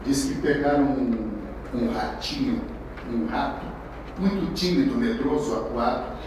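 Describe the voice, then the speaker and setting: A man's voice: medium pitch, measured pace. A man in his sixties from Brazil preaching a sermon in a conversational, anecdotal way, restarting his phrases often. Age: 60s. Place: large echoey hall. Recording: echoey hall, distant mic, background noise.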